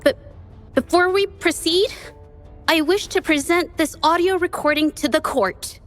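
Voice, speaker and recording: High-voiced, earnest young painter who is hesitant but firm, for game dialogue. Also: female voice, a little slow, a bit bright, soft background music. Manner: earnest, hesitant at first, then resolute. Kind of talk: video game voice acting.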